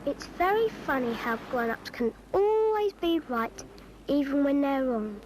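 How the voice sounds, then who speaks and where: A woman's voice: high-pitched, animated, unhurried, a little mellow, slight background noise, poor audio. A woman voicing a cheeky English schoolchild who chattily narrates a public information film, exasperated by grown-ups. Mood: wry, chatty.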